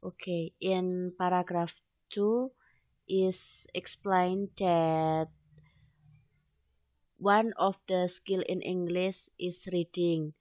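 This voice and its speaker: Slow, halting young woman presenting. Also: lowish voice, little pitch movement, a little mellow, no room sound, muffled recording. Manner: hesitant, halting non-native English with frequent "okay" fillers. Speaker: student presenter. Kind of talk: academic presentation.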